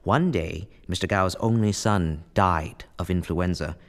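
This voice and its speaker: A senior angel played by a man in a radio play, with a fairly low voice. He is calm and in charge, measured and kindly as he gives instructions and tells a life story. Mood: storytelling.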